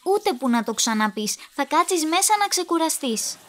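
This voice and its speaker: A woman's voice: high-pitched, big pitch swings, noisy background. A woman acting in an audio drama as an evasive, protective writer who gets flustered and hesitant. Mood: firm, protective.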